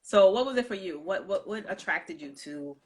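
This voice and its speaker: A woman's voice: mid-range voice, expressive, moderate pace, darkish tone. A woman from New York hosting a casual live-stream chat, affirming her guest and greeting viewers, with many fillers. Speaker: host.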